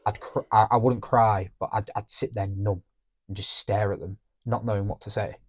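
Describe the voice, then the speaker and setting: A young man talking candidly on a podcast, reflective and halting, often restarting his sentences. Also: fairly low pitch, flat delivery, husky. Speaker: podcast co-host. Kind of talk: candid emotional conversation.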